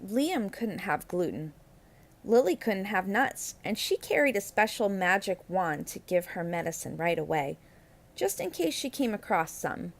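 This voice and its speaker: A woman reading a children's picture book aloud as narrator, with expressive, emphatic phrasing that stretches out key words. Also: medium pitch.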